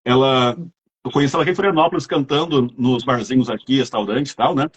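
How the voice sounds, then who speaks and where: A man's voice: medium pitch, fast talker, breathy edge. A man with a Brazilian accent, chatting casually as an interviewer and telling rambling anecdotes. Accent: Brazilian Portuguese.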